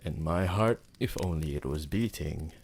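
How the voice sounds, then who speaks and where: A man voicing a devoted, possessive vampire lover in a romantic ASMR roleplay, speaking formally and tenderly with a gravelly voice. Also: a bass voice, a little mellow, a little hiss or hum. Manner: slow, formal, tender, theatrical endearments.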